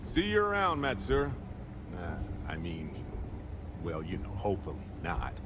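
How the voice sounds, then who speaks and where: A roguish, upbeat male space explorer in a video game. His low voice swings freely through breezy, wry jokes, over clear background hum. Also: wildly swooping pitch, normal speed. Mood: wry, reassuring.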